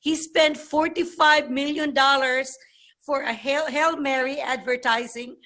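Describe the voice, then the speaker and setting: A woman with a high voice talks informally to a group on a video call. Her pace is slow and her manner earnest and urging, circling back to repeat her points. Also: fairly even intonation, pushed and bright. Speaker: meeting participant. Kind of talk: informal political remarks to a group.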